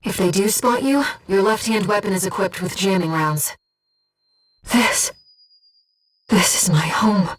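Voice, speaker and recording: An actress playing a gentle, devoted female voice in a pilot's head, a composed and precise mission guide in a video game. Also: mid-range voice, measured pace, long pauses, far from the mic, natural voice. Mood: earnest, pleading. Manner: composed, precise, earnest delivery. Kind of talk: video game voice acting, tactical briefing.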